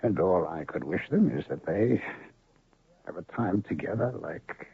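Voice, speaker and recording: An actor playing an honest, weary gambler who is a doctor, in an old radio drama, speaking calmly and drily with a gravelly voice. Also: male voice, low voice, a little slow, no echo, full character voice. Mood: dying. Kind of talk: 1970s radio drama acting. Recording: tinny lo-fi old radio broadcast.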